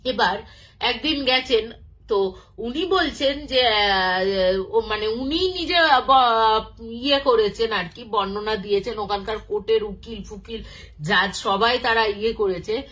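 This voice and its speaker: A woman telling a story informally and unscripted, in a halting, conversational manner, as a storyteller who acts out dialogue. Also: fairly high pitch, fairly bright tone.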